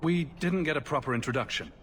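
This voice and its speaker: A man voicing a self-assured young human adventurer in a video game, direct and measured in his short questions. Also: a little high, fairly bright tone, slight background noise, close to an everyday voice. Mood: direct, curious.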